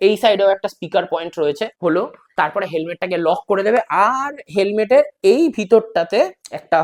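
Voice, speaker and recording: A vlogger casually walking through a gear setup step by step, speaking informally and directly to the viewer with English words mixed in. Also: mid-range voice. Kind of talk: casual product walkthrough, explaining step by step.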